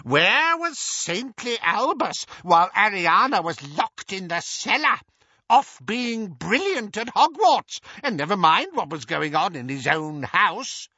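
A man voicing a haughty, gossiping great-aunt witch over a hundred years old in a storybook, shrill, screechy and imperious. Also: very animated, takes it slowly, sharp tone, husky, no echo, dull audio, full character voice. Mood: scornful. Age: over 100. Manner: imperious, blunt, tipsy.

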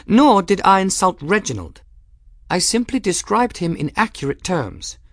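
Man voicing a high-pitched, proud young woman duelist. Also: sing-song, moderate pace, no room sound, studio quality. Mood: cool defiance. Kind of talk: audiobook character voice.

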